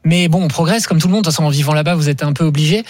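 A man guest on a French TV panel recounting a personal experience in a relaxed way. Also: a little high, very fast, no echo, clean studio sound. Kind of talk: TV panel conversation.

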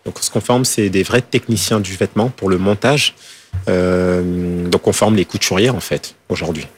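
A man's voice: low voice, moderate pace, breathy edge. A man from France, a fashion designer answering interview questions, hesitant with many fillers and restarts. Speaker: fashion designer and school founder.

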